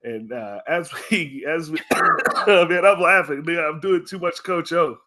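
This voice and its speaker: Jokey, excitable male podcast host. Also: a little high, a little slow. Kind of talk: casual sports talk.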